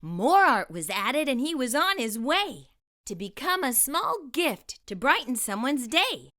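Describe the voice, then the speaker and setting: A woman's voice: sits high, big pitch swings, unhurried, sharp tone. A woman narrating a children's storybook expressively, enunciating for young listeners as a storytime host.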